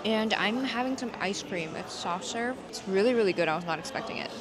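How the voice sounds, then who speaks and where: A woman's voice: mid-range voice, fairly quick, over music. A young woman in her twenties, chatting casually and upbeat in a travel vlog on a lapel mic. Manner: chatty, upbeat, rambling with many "like"s. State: sniffling after a shower. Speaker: travel vlogger. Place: hotel room, indoors.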